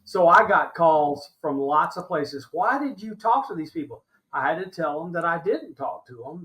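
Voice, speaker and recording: Interview guest: American man around seventy, forceful high voice, stresses points by repeating them. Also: poor audio. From Alabama, United States. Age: older, about 70. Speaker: interview guest recounting his experiences. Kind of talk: animated conversational argument.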